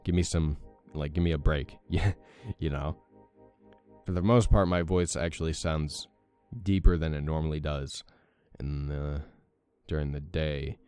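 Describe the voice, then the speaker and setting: Deep-voiced young man rambling over gameplay. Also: fairly even intonation, a little slow, warm tone, clean tone, faint music behind. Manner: rambling, many fillers, self-deprecating. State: morning voice with a crack. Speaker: let's play host. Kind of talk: casual gameplay commentary.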